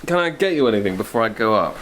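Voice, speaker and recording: An actor playing a reserved, awkward young Edwardian gentleman with an English accent in a period radio drama. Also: on the low side, big pitch swings, racing, slight background noise. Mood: attentive, nervous.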